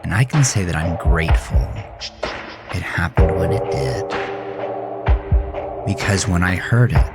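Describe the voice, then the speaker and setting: A man acting as a haunted, rage-filled narrator, telling a first-person horror story in an intense, brooding, deliberate way. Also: low-pitched, vocal fry. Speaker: narrator of a horror audio drama. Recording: music bed under the voice.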